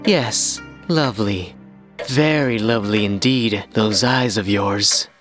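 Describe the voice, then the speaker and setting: A woman as a sly, low-voiced mysterious gentleman. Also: takes it slowly, over music. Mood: ominous. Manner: ominous, teasing, florid. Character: sly, languid, mysterious male aristocrat's associate, playfully clueless. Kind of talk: scripted anime-style manga dub acting.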